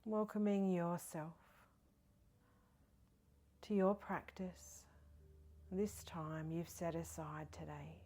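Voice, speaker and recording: A woman leading a guided meditation in a soft, breathy, partly whispery voice, soothing and gentle with long pauses. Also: lowish voice, fairly even intonation. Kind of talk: guided body scan meditation.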